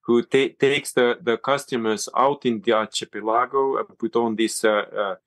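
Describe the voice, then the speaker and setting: A man with a Finland-Swedish accent and Swedish as his first language, a yoga and cold-water teacher talking as an interview guest, halting and restarting often. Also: medium pitch, fairly even intonation, a bit bright, no room sound. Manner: halting, many restarts and fillers. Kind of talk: casual interview talk.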